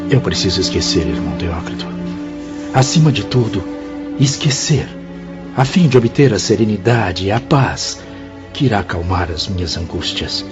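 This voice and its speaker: A man's voice: lowish voice, animated, normal speed, natural voice. A Brazilian actor playing a remorseful, desperate male spirit in a radio drama, pleading in a formal, theatrical, anguished way. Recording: dull lo-fi audio under clear music.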